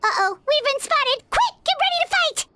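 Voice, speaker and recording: A woman voicing a tiny, chirpy, inquisitive childlike fairy companion in a video game, with a squeaky, expressive delivery. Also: moderate pace, a little hiss or hum, heavily acted. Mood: alarmed. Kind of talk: cartoonish video game voice acting.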